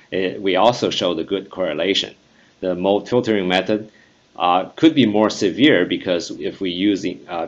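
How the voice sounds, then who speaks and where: A man presenting a technical conference talk over slides, measured and full of "uh" fillers. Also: sits low. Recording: dull lo-fi voice-over recording.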